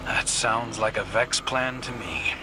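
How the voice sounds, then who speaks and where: A man's voice: mid-range voice, unhurried, background noise, natural voice. An actor playing a wry, thoughtful male scout in a video game, casual and dry in delivery. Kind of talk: video game mission dialogue. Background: loud music.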